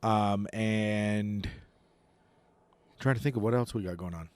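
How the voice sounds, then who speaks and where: A young man co-hosting a casual podcast, chatty and quick to laugh, recorded outdoors on a porch. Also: lowish voice, a little mellow, clear, resonant tone, dry recording. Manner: chatty, laughs often, talks over his co-host. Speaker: podcast co-host. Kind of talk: casual chat.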